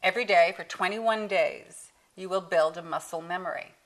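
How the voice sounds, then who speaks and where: A woman's voice: fairly low pitch, moderate pace, poor audio. A middle-aged woman, an accent coach, patiently explaining and demonstrating sounds in a casual interview.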